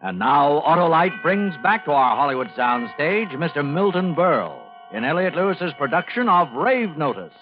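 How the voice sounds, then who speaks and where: A man's voice: on the high side, sing-song, normal speed, few pauses. A man announcing a program in dramatic mid-century radio style. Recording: muffled vintage radio with loud music.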